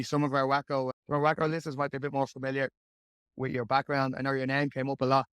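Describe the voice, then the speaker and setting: Young podcast host, flat delivery, chatty and rambling, dry sound. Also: male voice, medium pitch, clean tone, no room sound. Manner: friendly, rambling, many restarts. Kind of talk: interview hosting.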